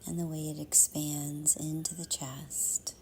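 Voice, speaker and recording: A woman leading a guided meditation in a soft, half-whispered, soothing voice, with measured phrases and long pauses. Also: sits low, little pitch movement, slight background noise.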